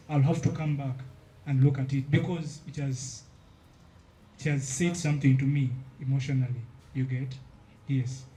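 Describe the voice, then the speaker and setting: Painter guy explaining how he picks his pictures, mellow voice, keeps checking you get it. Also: a little high, low-quality recording. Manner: earnest, checks in with listeners often. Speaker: palette knife painter. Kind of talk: explaining his process to an audience.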